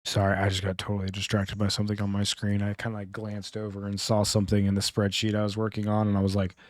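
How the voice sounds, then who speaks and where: A man with a naturally deep voice chatting casually and rambling on a gaming livestream, with slang and swearing, into a close mic. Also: completely flat delivery, fast talker, no echo. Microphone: close streaming mic, amplified.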